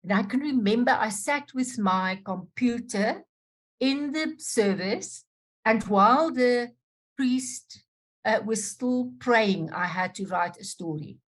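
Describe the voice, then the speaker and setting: A woman speaking as a journalist on a panel over a video call, talking in a halting way with many restarts. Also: on the high side. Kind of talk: unscripted panel talk.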